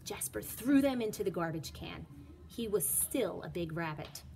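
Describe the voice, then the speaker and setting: A woman's voice: on the low side, animated, moderate pace, gravelly, mellow, soft background music. A woman reading a children's storybook aloud as a teacher, with dramatic, emphatic delivery.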